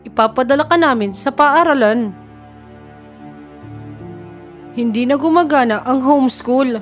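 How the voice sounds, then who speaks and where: A woman playing a stern, dignified queen and mother in an animated fairy tale. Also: high voice, brisk, soft background music, natural voice.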